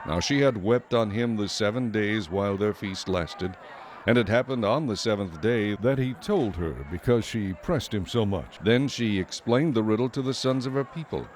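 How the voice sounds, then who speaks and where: Low-pitched male Bible narrator. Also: normal speed, a little hiss or hum.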